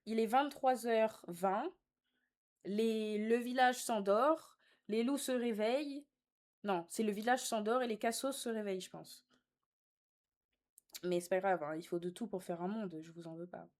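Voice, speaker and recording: A woman's voice: mid-range voice, measured pace, a little mellow. Young woman, sassy live streamer. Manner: teasing, sassy, slangy. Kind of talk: casual live chat, reading and answering viewer comments.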